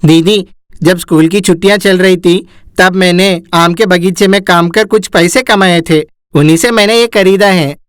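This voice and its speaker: A woman voicing a young schoolboy, an emotional younger brother, speaking earnestly in an animated moral story. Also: fairly low pitch, moderate pace, no room sound, clean studio sound, close to an everyday voice. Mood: sincere.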